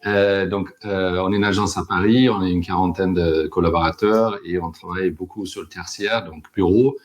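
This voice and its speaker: A man's voice: deep voice, fairly even intonation, normal speed, highs cut off. A man with a German accent, friendly and self-deprecating, introducing himself as an architect on a panel discussion. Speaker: architect, agency head. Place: office.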